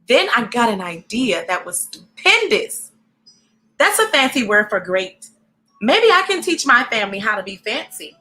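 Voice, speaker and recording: An animated, encouraging woman hosting a storybook read-aloud, speaking directly to children in an expressive way. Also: sits high, low-quality recording.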